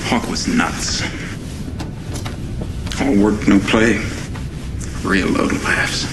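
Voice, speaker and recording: An actor playing a world-weary veteran fighter pilot, grim and reflective, with a gravelly voice and a terse, blunt manner. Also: male voice, fairly low pitch, very slow, background noise, heavily acted. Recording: music behind the voice.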